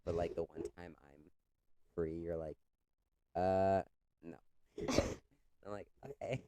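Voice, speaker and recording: A man's voice: sits low, steady tone, slow, mellow, rough recording. A young man co-hosting a podcast in casual chat, congested with a cough, talking loosely with lots of filler words. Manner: chatty, many "like" fillers, retells stories with quoted voices. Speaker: podcast co-host.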